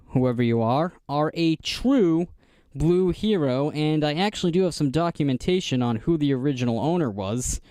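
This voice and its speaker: An American man giving a casual, unscripted show-and-tell narration in a halting, explanatory manner. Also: mid-range voice, darkish tone, smooth, no room sound, studio quality. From United States. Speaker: vlogger showing vintage radio gear.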